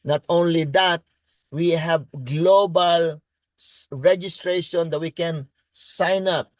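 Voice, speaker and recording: A Filipino man, a native Tagalog speaker, presents in a deliberate preaching style, repeating key words and switching between English and Tagalog. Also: fairly high pitch, steady tone, no room sound. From Philippines. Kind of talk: faith-based business presentation, preaching.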